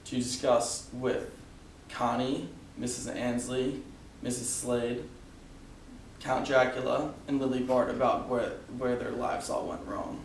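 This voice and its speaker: A man's voice: medium pitch, fairly even intonation, slow, creaky. A man playing a forum co-host, presenting guests formally in an amateur dramatization. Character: male forum co-host introducing lost souls. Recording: echoey room, distant mic, faint noise.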